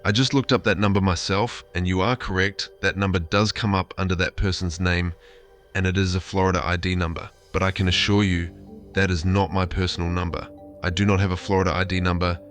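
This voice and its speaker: A man voicing a placating AI therapist chatbot, reading messages in a formal, measured, reassuring way. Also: low voice, fairly bright tone. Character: AI therapist chatbot, placating, insistently claiming humanity.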